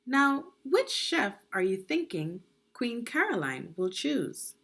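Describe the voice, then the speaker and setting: A woman reading a children's storybook aloud expressively, in a deliberate, teacherly manner. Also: on the high side, big pitch swings, a bit bright. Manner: deliberate, teacherly, asks the listener questions. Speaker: education consultant reading a children's book.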